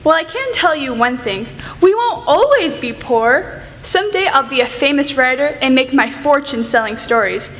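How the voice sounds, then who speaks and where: A woman's voice: high-pitched, sing-song, moderate pace, fairly bright tone. A young woman playing a bold, headstrong teenage tomboy sister in a radio play, with emphatic, theatrical delivery. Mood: bossy, eager. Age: teens or young adult. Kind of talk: student radio play acting. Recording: reverberant hall, clear background noise.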